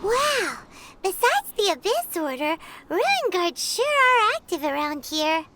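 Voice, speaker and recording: A woman voicing a tiny, excitable fairy companion girl who chatters and refers to herself in the third person, in video game voice acting. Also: extremely high pitch, slow, noisy background, full character voice. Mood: excited. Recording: game audio with loud music.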